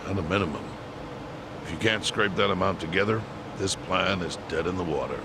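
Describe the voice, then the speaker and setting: A man voicing a measured, pragmatic older yakuza chairman with a dry, deliberate manner in a game dub. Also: low-pitched, noisy background. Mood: firm. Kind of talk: video game English dub acting.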